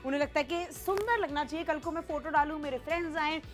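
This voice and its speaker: A woman's voice: high-pitched, fast talker, faint music behind. A woman presenting a TV shopping segment, chatting playfully and persuasively in a mix of Hindi and English. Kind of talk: chatty sales pitch mixing Hindi and English.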